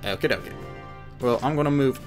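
A man's voice: medium pitch, fairly quick. A man giving joking, sarcastic gameplay commentary as host on a headset. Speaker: let's play host. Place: small room indoors. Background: game music.

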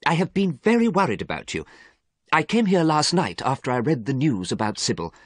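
A man voicing a gentle, worried painter with an earnest, pleading manner in an audiobook story. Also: on the high side, sing-song, fairly quick, no echo, studio quality, pitched above the performer's own voice.

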